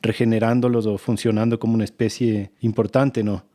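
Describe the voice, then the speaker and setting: Mellow-voiced male podcast host. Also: fairly low pitch, level tone, a little fast. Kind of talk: casual interview conversation.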